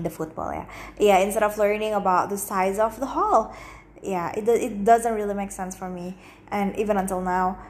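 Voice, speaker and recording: Chatty young woman, casual monologue. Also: medium pitch. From grew up in Romania and Indonesia. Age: young adult. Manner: chatty, many fillers and restarts. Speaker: podcast host.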